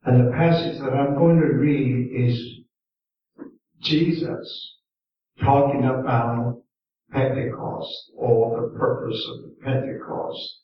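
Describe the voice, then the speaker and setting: A male preacher whose first language is Mandarin Chinese, delivering a sermon in an unhurried way with long pauses and gentle emphasis. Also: mid-range voice, steady tone. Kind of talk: slow, deliberate sermon. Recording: echoey hall, distant mic, dull lo-fi sound.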